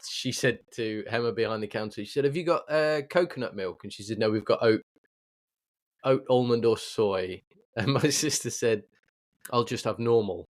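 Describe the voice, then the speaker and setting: A man's voice: medium pitch, normal speed. A British man chatting casually and telling anecdotes as a podcast host. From United Kingdom.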